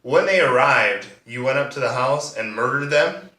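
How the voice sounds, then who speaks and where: A man's voice: mid-range voice, animated, moderate pace, sharp tone. A man playing a sardonic, accusing district attorney who questions a witness pointedly in a courtroom monologue. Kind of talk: monologue performance of a courtroom drama. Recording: roomy echo, distant microphone.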